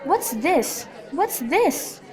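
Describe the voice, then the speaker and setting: A woman's voice: high voice, sing-song, drawn-out words, heavily acted. A woman playing a fussing female servant and confidante in an amateur radio drama. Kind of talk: amateur student radio drama of Shakespeare in modern English. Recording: light crowd noise behind voice.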